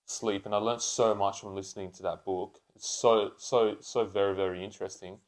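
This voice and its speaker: Man in his twenties, low voice, podcast co-host, casual unscripted chat, slangy, sweary. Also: steady tone, moderate pace, poor audio. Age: 20s.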